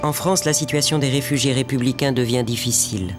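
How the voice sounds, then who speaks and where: A man delivering scripted documentary narration in a measured, solemn way. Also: medium pitch. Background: music underneath.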